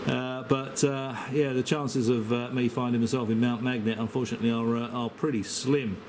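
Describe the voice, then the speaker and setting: A man's voice: mid-range voice, flat delivery, normal speed. Hesitant man over background noise. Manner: hesitant, rambling. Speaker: amateur radio operator. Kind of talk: casual radio chat. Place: by a radio set, filmed on handheld camera. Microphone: camera microphone held near the operator.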